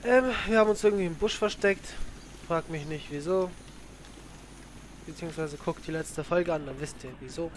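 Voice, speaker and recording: A young man from Germany giving rambling, crude-slang commentary on his let's play, with loud outbursts. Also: fairly high pitch, normal speed, a little hiss or hum. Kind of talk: casual gaming commentary. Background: game music and sounds.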